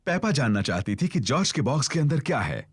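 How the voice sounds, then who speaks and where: A woman narrating a children's cartoon in an expressive storytelling style. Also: extremely low pitch, quick pace, slightly rough, no room sound, studio quality, exaggerated voice.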